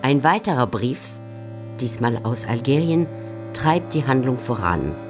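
Female literary narrator, composed and measured, scripted radio delivery over faint music. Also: extremely low pitch, animated.

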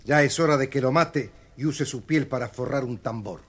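An actor playing a fed-up, callous donkey owner in a children's audio story. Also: male voice, mid-range voice, moderate pace, loud music behind the voice, close to an everyday voice. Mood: annoyed, callous. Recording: noisy, crackly old story record.

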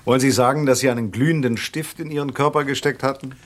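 Man acting polite curious showman guest in a radio crime play, medium pitch, bit of background noise. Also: fairly even intonation, quick pace, lightly acted. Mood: curious, amused. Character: polite, curious male stage artist, a house guest.

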